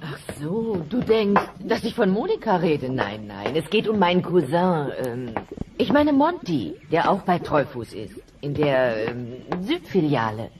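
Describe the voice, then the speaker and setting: An actress playing a caring but crafty middle-aged dinosaur mother in a German audio drama, insistent and expressive. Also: deep voice, unhurried, far from the mic, noisy background.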